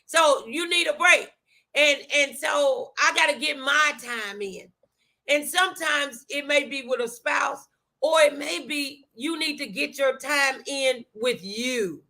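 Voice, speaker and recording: Middle aged lady talk show host, sharp high voice, preachy and emphatic, repeats stuff for punch. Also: takes it slowly. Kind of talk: motivational talk show chat.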